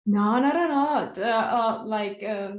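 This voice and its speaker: Slow, soft-spoken Colombian woman, echoey room. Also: a little high. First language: Portuguese. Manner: soft-spoken and unhurried, with frequent laughs and fillers. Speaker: guest artist. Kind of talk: casual interview chat.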